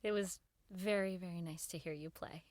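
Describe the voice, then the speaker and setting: A woman's voice: fairly low pitch, fairly even intonation, normal speed, no room sound, studio quality, lightly acted. An actress playing an earnest, nervous woman who works in network radio, in radio drama dialogue and narration. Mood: warm, polite.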